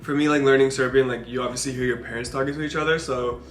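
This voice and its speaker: A young man with a mid-pitched voice talks casually, padding his informal phrases with "like". The space is audibly roomy, with faint music underneath. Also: steady tone, fast talker. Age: young adult. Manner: informal, peppered with "like". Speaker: parenting vlogger. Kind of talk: casual chat with partner.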